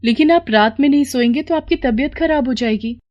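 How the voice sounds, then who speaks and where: A woman's voice: sits high, a little fast, darkish tone, lo-fi sound, pitched above the performer's own voice, close to an everyday voice. A woman voicing a poor, worried and dutiful wife in a moral story, plaintive and deferential. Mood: worried, pleading. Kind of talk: Hindi moral story dialogue.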